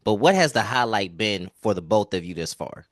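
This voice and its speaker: A man's voice: fairly low pitch, expressive, normal speed, no echo, clean studio sound. An American man working as an entertainment interviewer, talking in an informal, slangy, chatty way. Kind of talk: casual interview chat.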